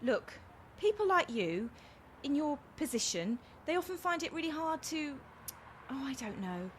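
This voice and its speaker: An actress plays a kind-hearted, plain-speaking young woman in a radio play. Her voice is fairly high and very animated, chatty and earnest. There is faint noise behind her. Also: normal speed. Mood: apologetic, sincere. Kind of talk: naturalistic radio comedy acting.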